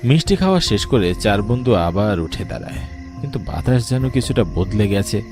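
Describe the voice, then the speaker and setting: A man's voice: fairly low pitch, sing-song, normal speed, over music. A man giving dramatic, scripted narration of a ghost story.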